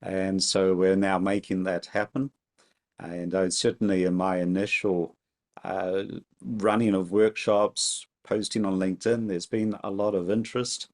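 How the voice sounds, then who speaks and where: Low-voiced guy on a video call, calm and measured, co-hosting a webinar. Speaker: academic and workshop co-host. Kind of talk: webinar talk.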